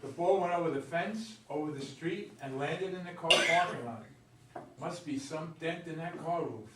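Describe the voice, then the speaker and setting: Man acting middle-aged admiring fan, nervous, higher male voice, stage play, distant echoey mic. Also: moderate pace, lightly acted. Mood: awed. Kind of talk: amateur staged play reading. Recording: echoey room, far from mic, background noise.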